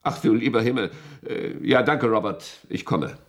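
An actor playing an impatient, domineering state security chief in a radio play, speaking in an insistent, irritable manner. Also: male voice, on the low side, expressive, moderate pace. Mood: weary, irritated. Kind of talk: German radio play acting.

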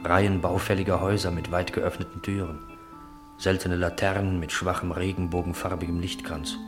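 A man narrating a radio play, reading literary scene descriptions in a measured way. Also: low voice, level tone. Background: music.

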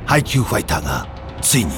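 A man's voice: low voice, big pitch swings, quick pace, very noisy. A man playing a grandiose action movie trailer announcer, dramatic and emphatic. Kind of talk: grandiose film trailer narration. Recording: loud music under the voice.

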